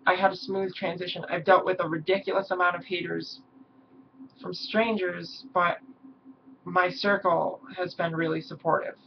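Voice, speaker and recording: A hoarse young woman talking haltingly in a personal vlog, with many pauses and ums. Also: on the low side, level tone. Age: young adult. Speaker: vlogger. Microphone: camera mic. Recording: dull lo-fi mic with faint hiss.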